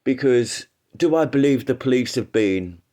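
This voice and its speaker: A man's voice: mid-range voice, lively intonation, normal speed, mellow. A man with a head cold, hosting a casual livestream and rambling through his opinions with frequent restarts. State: congested with a cold. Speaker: livestream host.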